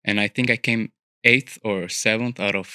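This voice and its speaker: A man's voice: lowish voice, fairly even intonation, moderate pace, sharp tone, smooth, no echo, studio quality. A young man from Albania, an architecture student, chatting as a podcast guest in a thoughtful way with many fillers and restarts. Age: young adult. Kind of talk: casual interview conversation. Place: studio.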